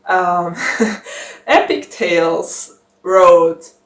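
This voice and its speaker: Slow, chatty young woman. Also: on the low side, expressive. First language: German. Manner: chatty, giggly, rambling with many fillers. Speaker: book channel host. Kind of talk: casual chat to camera. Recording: roomy echo.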